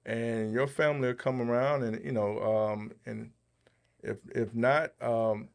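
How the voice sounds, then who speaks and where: A man hosting a podcast in a casual, measured and reassuring way, with pauses as he goes. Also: mid-range voice, fairly even intonation, vocal fry. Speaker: podcast host.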